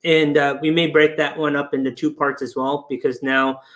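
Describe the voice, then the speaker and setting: A man in his forties, a financial planner, explaining retirement topics in a relaxed way over a video call from a room at home. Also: fairly high pitch, moderate pace. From England. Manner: explanatory, relaxed, laughs easily. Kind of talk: casual webinar explanation.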